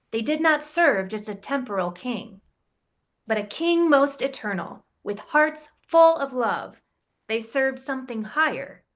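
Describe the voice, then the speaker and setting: Slow, playful woman reading a rhyming storybook. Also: high-pitched. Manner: playful, expressive rhyming delivery with voiced quotes. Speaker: campus ministry director reading a picture book. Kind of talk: storybook read-aloud in rhyme.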